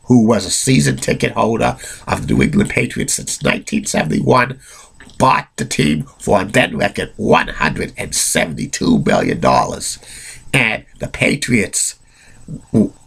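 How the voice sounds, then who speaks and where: A low-voiced man with a cold talks off the cuff, his tone gravelly and a bit husky. He rambles through restarts and fillers and gives blunt opinions. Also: huge pitch swings, a little slow, a bit bright. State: has a cold. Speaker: amateur sports history narrator. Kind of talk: unscripted solo narration.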